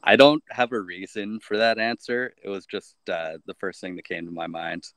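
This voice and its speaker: Laid-back musician on a dry mic. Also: male voice, low-pitched, moderate pace, no echo. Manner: relaxed, laid-back answers with fillers. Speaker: band member guest. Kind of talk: casual interview chat.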